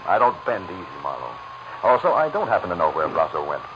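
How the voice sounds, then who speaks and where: Gangster's henchman, American, deep voice, animated pitch, mocking, sardonic drawl, 1940s radio drama, dull noisy recording. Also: fast talker. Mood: smug taunting. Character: smug, mocking gangster henchman who won't bend.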